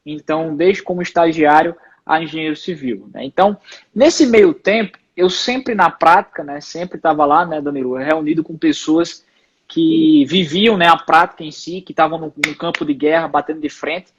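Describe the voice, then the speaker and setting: A man's voice: on the high side, normal speed, husky, lo-fi sound. A man in his twenties, an engineer and company founder, chatting casually as a guest on a live stream, dropping lots of fillers. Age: 20s. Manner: relaxed, full of fillers like "né" and "aí". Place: home, live video call.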